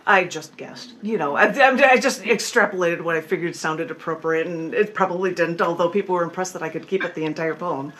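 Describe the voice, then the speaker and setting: A woman with a slightly low voice speaks as a poet and performance artist. She is being interviewed over a video call, moving between casual explanation and reading of her own writing. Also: a little fast, hardly any pauses, fairly bright tone.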